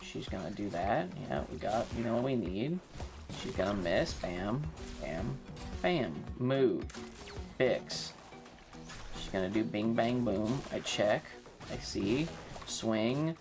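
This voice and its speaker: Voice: mid-range voice, lively intonation, slow pace, over music. A streamer with an androgynous-sounding voice, chatting casually during a live game in a slangy, sweary, self-mocking way.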